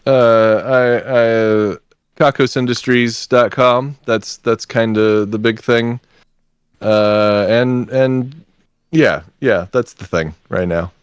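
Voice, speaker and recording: A man's voice: mid-range voice, fairly even intonation, takes it slowly, clean tone, dry recording, clean studio sound. A man speaking hesitantly as a guest in a casual livestream chat.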